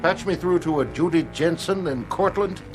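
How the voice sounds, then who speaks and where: An actor playing a stern, skeptical police lieutenant in a radio drama, firm, condescending and businesslike. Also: male voice, a little high, moderate pace, over music, close to an everyday voice, poor audio. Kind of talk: dramatic radio play acting.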